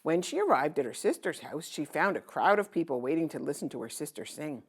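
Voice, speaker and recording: Female storybook narrator for children, low register with very animated delivery. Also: fairly quick, slightly rough.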